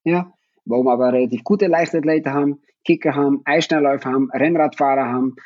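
A man's voice: mid-range voice, steady tone, fast, no echo, lo-fi sound. A Dutch man in his fifties, a native Dutch speaker, talking casually with a Dutch accent, hesitant and full of fillers and restarts. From Netherlands. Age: 50s. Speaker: guest, parent of athletes. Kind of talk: casual conversation.

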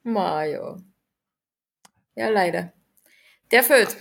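Woman guest on a podcast, mid pitch, keeps it short and plain. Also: lively intonation, extremely slow, creaky. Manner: brief, plain answers. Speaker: podcast guest, trail runner. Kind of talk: casual interview chat.

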